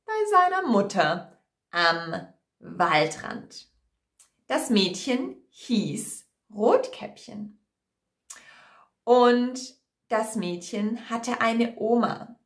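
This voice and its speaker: A young woman teacher telling a story for language learners, deliberate and expressive, in a small room at home. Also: fairly high pitch. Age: young adult. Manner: deliberate, clearly expressive, repeats simply. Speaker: German teacher. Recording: roomy indoor echo.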